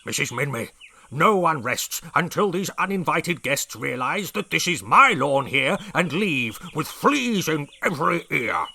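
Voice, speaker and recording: A man's voice: a little high, very animated, unhurried, vocal fry, sharp tone, breathy edge, exaggerated voice. A man voicing a blustering, hot-tempered old male dinosaur brigadier who bellows and roars his orders. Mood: bellowing angry. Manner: bellowing, roaring.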